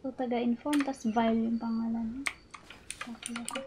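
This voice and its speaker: A woman's voice: on the high side, little pitch movement, normal speed, noisy background. A woman with a Filipino accent giving casual commentary on a game she is playing. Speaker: gaming video host. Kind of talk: casual game commentary mixing Tagalog and English. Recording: game music behind the voice. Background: game music.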